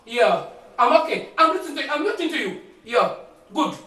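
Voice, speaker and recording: An actor playing an arrogant homeowner accused of murder, loud and defiant, who mixes in broken English. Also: male voice, extremely high pitch, big pitch swings, moderate pace, roomy sound, distant mic, full character voice. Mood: blustering. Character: arrogant rich man resisting police search. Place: house interior.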